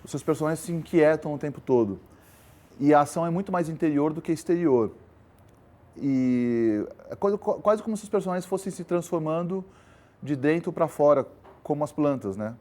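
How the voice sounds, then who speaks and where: Brazilian guy interviewing, soft and mellow, calm questions. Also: fairly high pitch, normal speed. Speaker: interviewer host.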